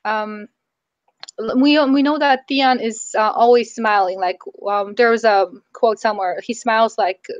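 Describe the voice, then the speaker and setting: A woman's voice: a little high, fairly even intonation, a little slow, no room sound. A woman with a strained voice after nearly losing it, offering tentative ideas in a casual book club chat with many ums.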